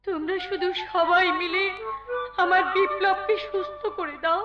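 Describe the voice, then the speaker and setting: A woman's voice: very high voice, fairly even intonation, normal speed. An actress playing a desperate, grief-stricken poor mother in melodramatic Bengali folk theatre, wailing and repeating broken phrases. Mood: sorrowful pleading. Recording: dull lo-fi recording under loud music.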